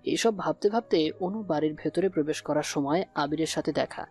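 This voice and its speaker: A man's voice: a little high, normal speed, darkish tone. A man reading scripted narration for a story. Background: music.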